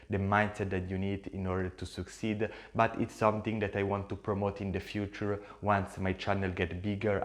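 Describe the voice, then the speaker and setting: A man whose first language is Italian, speaking English with an Italian accent in an earnest, repetitive way, talking to camera with frequent fillers and restarts. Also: on the low side. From Switzerland. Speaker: YouTuber and agency owner. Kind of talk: casual talk to camera.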